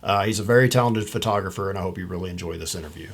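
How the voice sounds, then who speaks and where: Man in his 30s, lowish voice, relaxed friendly host at a home studio mic. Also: racing. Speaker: host, photography channel. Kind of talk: interview host, casual chat. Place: home office. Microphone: studio mic in front of the speaker.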